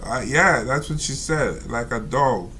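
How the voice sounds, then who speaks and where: A man reading out and commenting on a reader's letter, talking slowly and rambling with many fillers and asides. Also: mid-range voice, pushed and bright, slight background noise. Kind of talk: casual spoken commentary.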